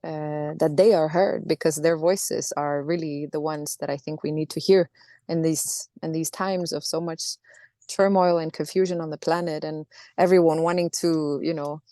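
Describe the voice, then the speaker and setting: Soft-spoken woman, thoughtful. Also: deep voice, fairly even intonation, no room sound, studio quality. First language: Norwegian. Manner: thoughtful, halting, with many restarts and fillers. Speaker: singer, interview guest. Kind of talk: reflective interview answers.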